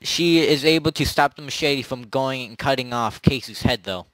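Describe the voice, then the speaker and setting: A man running a tabletop zombie role-play as game master, narrating in a halting, improvised way. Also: mid-range voice, fairly bright tone, no echo, rough recording. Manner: halting, pieces scenes together as he goes.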